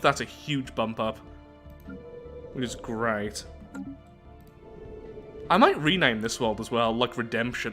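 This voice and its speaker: A man's voice: mid-range voice, pushed and bright. A man giving casual commentary on a strategy game playthrough, thinking aloud as he goes. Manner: thinking aloud, musing to himself.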